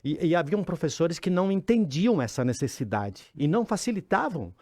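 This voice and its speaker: A man, co-hosting a talk show and telling stories in a lively way. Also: a little high, brisk, no echo, studio quality. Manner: animated storyteller, acts out quotes. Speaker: co-host. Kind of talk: casual studio talk.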